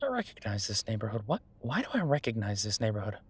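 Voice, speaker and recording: A man's voice: on the low side, big pitch swings, quick pace, breathy edge. A man playing a friendly, self-made CEO and old college friend in a roleplay audio drama, talking casually with stammers and self-deprecation. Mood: relaxed, reflective, then puzzled, hesitant. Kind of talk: intimate one-sided roleplay audio drama, conversational.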